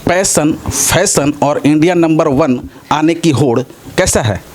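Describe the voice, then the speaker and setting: A man's voice: fairly high pitch, slow pace, a little mellow, a little hiss or hum. A man working as an interviewer, putting wordy, roundabout questions to a guest.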